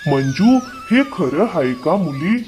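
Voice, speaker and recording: An emphatic village family man acted by a man in a cartoon moral tale. The voice is fairly high and hugely expressive in its swings, full of emotion, with faint music behind. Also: slow pace. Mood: serious, firm. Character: older village father and pleading brother-in-law. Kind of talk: animated moral story dialogue.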